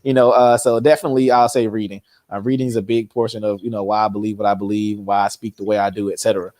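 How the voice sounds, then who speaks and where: Relaxed young man, dry close sound. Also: lowish voice, fast, dry recording. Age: early 20s. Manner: relaxed, laughs often, informal speech. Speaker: guest, youth organization founder. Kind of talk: casual conversation.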